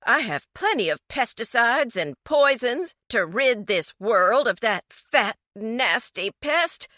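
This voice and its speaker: A woman voicing a frantic, scolding middle-aged mother, high and shrill. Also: very animated, slow, pushed and bright, no room sound, clean studio sound, exaggerated voice. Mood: outraged.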